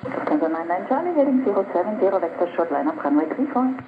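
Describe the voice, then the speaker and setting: Fast air traffic controller on crackly radio. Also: mid-range voice, very animated, reverberant. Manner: brisk, procedural. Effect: VHF airband radio. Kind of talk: clipped radio phraseology, issuing approach instructions. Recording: noisy narrowband aircraft radio transmission. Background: radio static.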